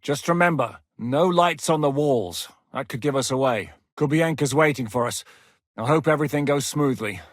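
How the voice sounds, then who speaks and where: A man's voice: mid-range voice, moderate pace, no room sound, clean studio sound, close to an everyday voice. A man voicing a blunt, foul-mouthed war captain. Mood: gruff. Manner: gruff, curses freely, barks orders. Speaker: military commander. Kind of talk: video game voice acting.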